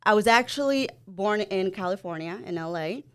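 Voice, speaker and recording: Smooth-voiced woman, candid and chatty. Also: mid-range voice, animated, normal speed, fairly bright tone. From El Salvador. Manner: candid, a little nervous, laughs easily. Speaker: podcast co-host, guest. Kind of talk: casual interview chat.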